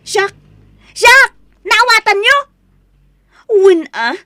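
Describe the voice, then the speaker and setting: A woman's voice: very high-pitched, very animated, takes it slowly, pushed and bright, no echo, clean studio sound, exaggerated voice. A woman playing a defiant, headstrong young daughter in a melodramatic audio drama, blunt and emotional. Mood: defiant, desperate.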